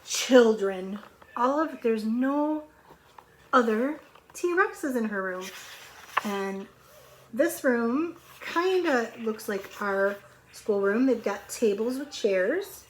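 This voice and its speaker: Slow-paced woman reading to young children. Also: a little high, a little hiss or hum, poor audio. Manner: expressive, questioning, conversational with children. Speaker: preschool or elementary teacher reading aloud. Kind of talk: storybook read-aloud with asides to young children.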